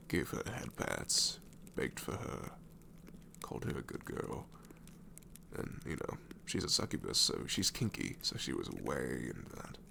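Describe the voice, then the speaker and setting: A man's voice: noisy background, exaggerated voice. A man playing an ancient, sassy shadow demon in an ASMR roleplay, wry and world-weary but tenderly reassuring, often slipping into a whisper. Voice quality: very deep, gravelly, often breaking into whisper.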